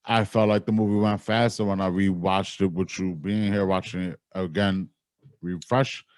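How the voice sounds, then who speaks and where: A man's voice: low voice, steady tone, moderate pace, dry recording, studio quality. Argumentative man cohosting, swearing freely. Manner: loud, profane, argumentative. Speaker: podcast cohost. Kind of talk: casual movie review chat.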